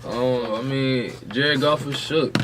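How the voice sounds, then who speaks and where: A man's voice: medium pitch, takes it slowly. Blunt, slangy man in noisy podcast chat. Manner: slangy, blunt, pushing back. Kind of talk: casual chat and debate.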